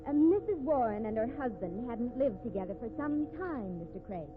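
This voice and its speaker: Actress as an indignant, high-voiced secretary, very animated. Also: female voice, moderate pace, music in the background, natural voice. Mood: protesting. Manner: prim, flustered, protesting. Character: indignant, earnest female secretary defending herself under questioning. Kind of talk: 1950s radio mystery acting. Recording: tinny, noisy old radio recording.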